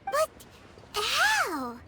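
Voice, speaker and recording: A woman voicing a tiny, childlike, excitable floating companion in a video game, full of curiosity. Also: very high voice, very slow, very noisy, full character voice. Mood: curious, puzzled. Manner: exclamatory, curious. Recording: loud music behind the voice.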